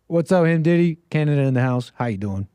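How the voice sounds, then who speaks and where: A man's voice: a little high, animated, fast, mellow, clear, resonant tone, no room sound. A man hosting a call-in show, talking casually and animatedly, often laughing. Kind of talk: casual live-stream talk.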